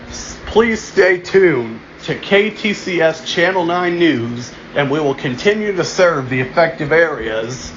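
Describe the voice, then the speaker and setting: A man playing a solemn emergency alert announcer in an amateur mock broadcast, deliberate and halting, restarting his phrases. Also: fairly high pitch, a bit bright. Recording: dull lo-fi home recording, noticeable background hum.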